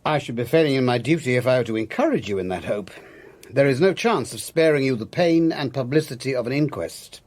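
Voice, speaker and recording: An actor playing a grave, formal English family solicitor with a deliberate manner, in a radio drama. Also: male voice, medium pitch, animated, a little mellow, natural voice.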